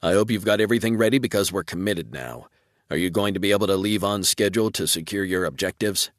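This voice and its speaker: A man's voice: deep voice, fast, dry recording, studio quality, close to an everyday voice. A man voicing a resolute, commanding starship commodore giving clipped military orders in an audiobook. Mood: grimly determined.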